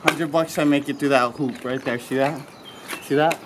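A man's voice: medium pitch, fairly even intonation, moderate pace. A young man bantering casually with friends outdoors, full of slang and swearing. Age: young adult. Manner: slangy, profane. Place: outdoors among trees and concrete ruins. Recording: noisy outdoor camera audio.

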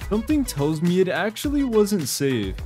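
A man's voice: sits high, big pitch swings, normal speed, over music. A man narrating a video compilation in scripted reaction commentary.

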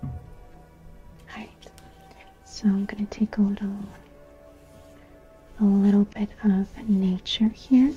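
A young woman whispering softly and breathily in an ASMR personal-attention roleplay as an art teacher, soothing and gentle, close to the microphone. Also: mid-range voice, level tone, takes it slowly, long pauses, breathy edge, lo-fi sound, soft background music. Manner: soft, soothing, gentle, repeating phrases. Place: small bright plant-filled room indoors. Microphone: close studio microphone with fluffy cover.

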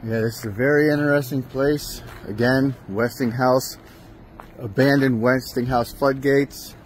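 A man's voice: mid-range voice, steady tone, a little slow, slight background noise. Rambling man narrating a walkabout. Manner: rambling, self-correcting, plain-spoken. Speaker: urbex vlogger. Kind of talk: casual walking narration. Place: outdoors and in a large abandoned industrial building.

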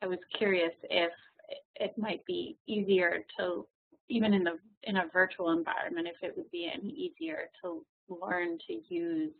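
A woman's voice: mid-range voice, animated, moderate pace, creaky. A woman moderating a webinar question session, speaking with frequent ums and uhs. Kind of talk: hosting a webinar Q&A. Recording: tinny phone-like webinar audio.

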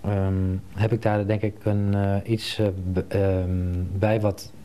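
A man's voice: fairly low pitch, level tone, takes it slowly, dark tone. A man in his thirties with a Netherlands Dutch accent, answering interview questions as a designer, with frequent long hesitations. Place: indoor design studio. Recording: faint background hum.

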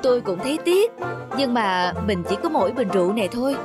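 An actor playing a mild, simple village husband in a folk-tale cartoon. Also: male voice, very high-pitched, very animated, fast. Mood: mild, hesitant. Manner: mild, explaining. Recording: music behind the voice.

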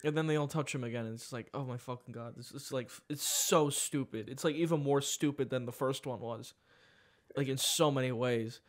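A man's voice: mid-range voice, fairly quick. A young man hosting a podcast in casual chat, profane and rambling, laughing often. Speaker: podcast host.

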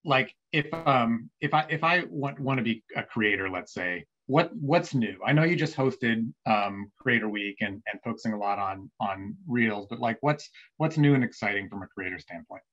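A man in his forties hosting a guest over a video call, friendly and a little halting, with restarts. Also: mid-range voice, expressive, fairly bright tone. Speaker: host, marketing executive. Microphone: webcam video call. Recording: dull lo-fi video call audio.

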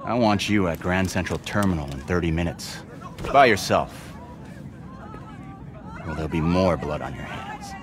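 Guy as cold game villain, low deep voice, calm menace, noisy place behind him. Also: normal speed, long pauses, crowd noise, natural voice. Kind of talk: dramatic video game acting.

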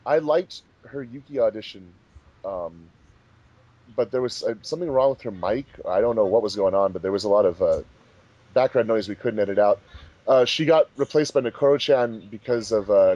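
A man's voice: fairly low pitch, brisk, a little mellow, no room sound, dull audio. A man chatting casually as a director on a group commentary track.

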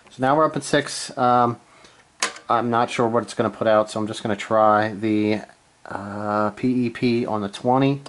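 A man with a mid-range voice talks slowly through a piece of hobby gear. He is casual and unscripted, halting as he thinks aloud. He speaks from behind the camera in a small room at home. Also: mid-range voice. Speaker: amateur radio hobbyist, presenter. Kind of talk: casual hands-on gear demonstration.

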